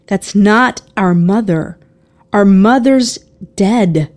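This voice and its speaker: A woman's voice: medium pitch, slow pace, darkish tone, lightly acted. A woman voicing a younger sister in a story, a worried, skeptical girl who questions bluntly. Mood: disbelieving.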